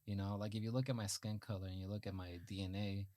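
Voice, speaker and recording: A man's voice: on the low side, level tone, quick pace, dark tone, no room sound, studio quality. A man in casual podcast conversation, speaking earnestly and repeating key phrases for emphasis. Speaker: podcast host.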